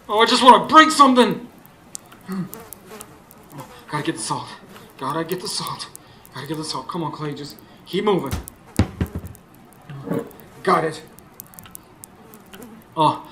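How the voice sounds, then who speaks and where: Urgent high-pitched folksy man, horror radio play. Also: sharp tone. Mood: frustrated. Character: anxious, determined Southern husband narrating a ghost hunt. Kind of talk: live radio drama acting and first-person narration. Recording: live stage recording, clear background noise.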